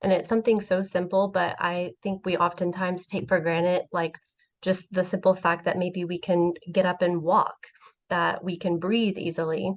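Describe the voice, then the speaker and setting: A woman's voice: fairly low pitch, flat delivery, normal speed. A woman sharing personally and casually on an online call with slightly dull, lo-fi sound. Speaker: community member sharing.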